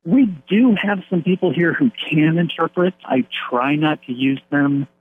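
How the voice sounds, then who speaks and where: Husky-voiced man on a tinny phone line. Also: fairly high pitch, expressive, measured pace. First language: English. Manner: earnest, rambling with fillers and restarts. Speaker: pastor of an English-speaking church abroad. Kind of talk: phone interview.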